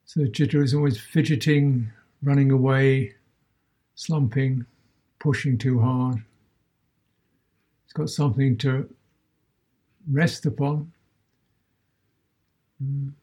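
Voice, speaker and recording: Older-style dharma talk from a guy, really slow, soft dark husky voice, lots of pauses. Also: mid-range voice, steady tone. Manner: measured, with long pauses between short phrases. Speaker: Buddhist meditation teacher. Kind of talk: guided meditation talk.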